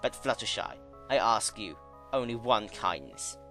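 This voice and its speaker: A man performs an ancient male chaos creature from a dramatic fan-fiction reading. He is smug yet weary and tender, speaking slowly and emphatically with big swings of pitch. Music plays behind the bright, forceful voice. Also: lively intonation, heavily acted. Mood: tender pleading.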